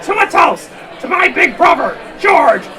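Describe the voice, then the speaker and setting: A man acts out a hearty, eager puppet brother in a comic radio play. The voice is animated and a little far from the mic, in a noisy hall with an audience. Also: very high voice, a little slow, a faint crowd, heavily acted. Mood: eager, celebratory. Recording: distant miking, noisy live hall with audience.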